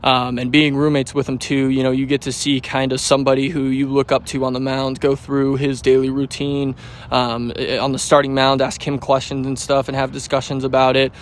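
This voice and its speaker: A man's voice: medium pitch, a little fast, slight background noise. Young man rambling through fillers. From United States. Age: 20s. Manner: relaxed, rambling with fillers and restarts. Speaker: baseball pitcher. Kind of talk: pregame interview.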